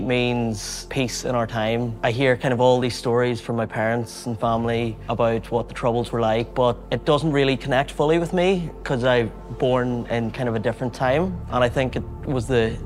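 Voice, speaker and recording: A young man gives a thoughtful interview in a documentary clip, pausing on fillers as he speaks. Soft music plays faintly underneath. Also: medium pitch, steady tone. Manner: reflective, hesitant with fillers.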